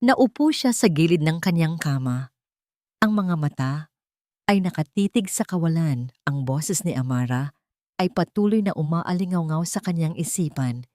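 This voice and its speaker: A Filipino woman reading a scripted dramatic story in a measured, solemn and expressive way. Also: low-pitched, no room sound, clean studio sound.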